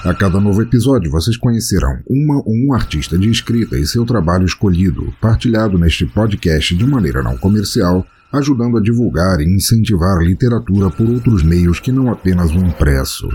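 A man's voice: deep voice, lively intonation, brisk, few pauses, mellow, slight background noise. A Brazilian man hosting a podcast, reading a scripted introduction in a grandiloquent, tongue-in-cheek theatrical manner. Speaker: podcast host.

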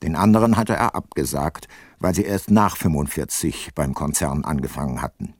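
An actor playing an ageing, weary private detective and former prosecutor, sober and reflective, in a radio play. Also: male voice, rumbling low, normal speed, darkish tone, breathy edge, no room sound, clean studio sound, full character voice. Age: older. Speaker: detective, narrator. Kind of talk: radio play narration and dialogue.

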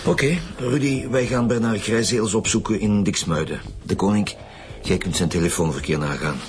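An actor playing a gruff, curt and drily sarcastic chief detective with a Flemish accent in a radio drama. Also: male voice, lowish voice, normal speed, lightly acted. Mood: businesslike. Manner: curt, bossy, dry sarcasm.

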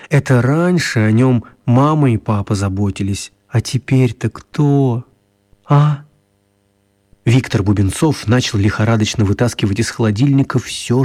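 A man narrating a children's radio story in a wry, confiding way, with expressive, deliberate phrasing and dramatic pauses. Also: medium pitch.

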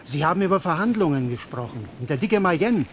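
A man's voice: on the high side, animated, brisk, a little hiss or hum, natural voice. An actor playing a gossipy citizen of old Paris in a German radio drama, speaking confidingly as a man who spreads rumours.

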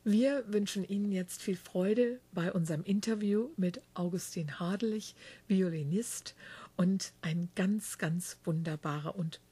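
A woman's voice: medium pitch, a little slow, warm tone, husky. A woman radio host reading a guest's biography and interviewing him, chatty, with small slips and self-corrections. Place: backstage dressing room.

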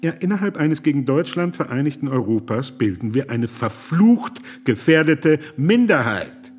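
A man's voice: on the high side, sing-song, moderate pace, a little mellow. An actor playing an earnest male pastor and humanitarian in a radio play, firm and principled. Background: music.

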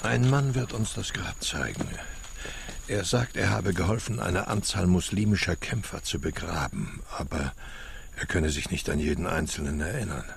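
Very gravelly, deep, grave companion. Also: male voice, moderate pace, a little hiss or hum. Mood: matter-of-fact. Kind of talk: radio drama dialogue.

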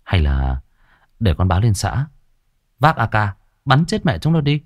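A man's voice: lowish voice, huge pitch swings, unhurried, no echo, studio quality. A man voicing a blunt, hot-headed young village man. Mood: rash.

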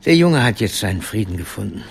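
An actor playing a laconic, world-weary, plain-spoken man with a husky voice in radio drama dialogue. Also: on the low side, big pitch swings, quick pace, full character voice. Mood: musing. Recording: music under the voice. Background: music.

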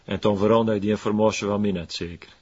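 An actor playing a cagey, flirtatious informant in a TV drama, with a West Flemish accent. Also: male voice, on the low side, fairly even intonation, fast talker, darkish tone, husky. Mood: sly, teasing. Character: cagey, flirtatious male informant, sly and evasive. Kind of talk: TV drama acting. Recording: muffled, with music behind. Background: music.

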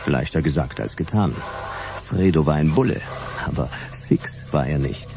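Very deep, gravelly detective. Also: male voice, animated, moderate pace, music in the background, exaggerated voice. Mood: wry, dry. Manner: dry, sardonic. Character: wry, hard-boiled male private detective narrating. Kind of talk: noir radio play narration.

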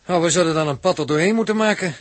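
A man acting a sceptical, precise spaceship crewman in a 1960s radio drama, husky, with a formal, clipped, questioning manner. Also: fairly high pitch, racing, no echo, close to an everyday voice. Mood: matter-of-fact.

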